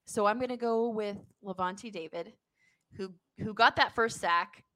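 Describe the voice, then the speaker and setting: A woman co-hosting a sports podcast in casual post-game chat, speaking in an animated, emphatic way. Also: mid-range voice, unhurried, no echo.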